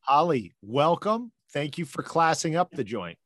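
A man's voice: a little high, sing-song, measured pace, fairly bright tone. A man co-hosting a podcast, joking and wisecracking in casual chat. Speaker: podcast co-host.

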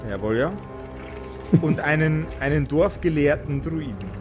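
A man, narrating a tabletop role-playing game as game master, often halting and repeating words as he improvises. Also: fairly high pitch, expressive, over music.

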